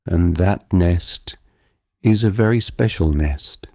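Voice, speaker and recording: A man narrating a guided visualisation in a gentle, calm and deliberate, soothing hypnotic manner. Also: low voice, vocal fry, dry recording, clean studio sound.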